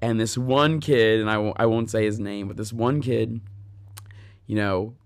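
A man's voice: on the low side, steady tone, measured pace, no room sound. A man in his thirties giving an earnest, conversational devotional talk on scripture, speaking straight to listeners and telling personal stories. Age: 30s. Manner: addresses listeners directly.

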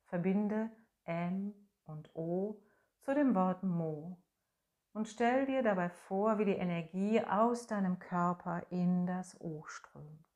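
A woman leading a guided voice and breathing meditation in a slow, soft, soothing way, humming tones to demonstrate. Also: fairly low pitch, highs cut off. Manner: slow, soft, soothing instructions with long pauses; hums and sings sustained tones as demonstration.

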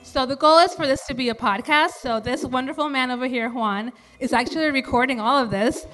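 A woman with a fairly high, bright voice hosts a live storytelling event. She speaks to the audience as she introduces the show, with faint music behind her. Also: brisk.

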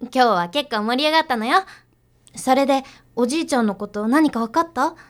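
Young idol girl worried for family, high voice, casual expressive radio drama talk. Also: fairly quick. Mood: hopeful, curious. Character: young female underground idol, concerned granddaughter.

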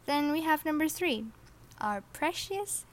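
A woman's voice: sits high, animated, measured pace. A teenage girl from Saudi Arabia hosting her first podcast episode, chatty, self-deprecating and a little nervous. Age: teens. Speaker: podcast host. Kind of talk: casual personal podcast monologue.

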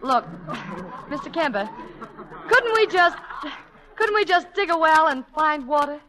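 A woman's voice: high voice, sing-song, measured pace. A woman playing an eager, optimistic wife in a 1940s radio comedy, coaxing and soothing her husband. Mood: anxious hopeful. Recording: very noisy vintage 1940s radio broadcast.

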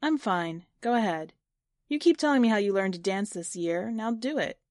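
A woman's voice: medium pitch, animated, moderate pace, a little mellow, no echo, clean studio sound, lightly acted. A woman voicing a playful, bold teenage girl in a short story reading. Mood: curious, bold.

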